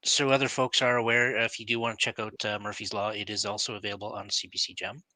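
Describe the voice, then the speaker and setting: A man's voice: lowish voice, little pitch movement, fast talker, sharp tone, no echo, low-quality recording. A man hosting a live online talk show, hesitant and full of fillers, thanking his guest and viewers. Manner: hesitant, filler-heavy, friendly.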